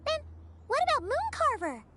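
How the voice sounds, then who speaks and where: A woman voicing a small, excitable fairy companion with a squeaky childlike voice and a chirpy, inquisitive way of talking, in a video game. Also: moderate pace, soft background music, exaggerated voice. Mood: curious, surprised.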